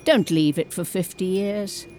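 An actress playing a sharp-tongued, meddling older woman in a comedic audio drama, wry and blunt. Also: lowish voice, a little slow, faint music behind, close to an everyday voice. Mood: wry, grumbling.

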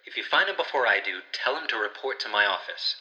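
Stern exasperated boss lady, super low voice, long echo, audio drama. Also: expressive, fast talker, bright, forceful tone, dull audio, exaggerated voice. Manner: curt, stern. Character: gruff, suspicious, exasperated boss. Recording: long hall-like reverb.

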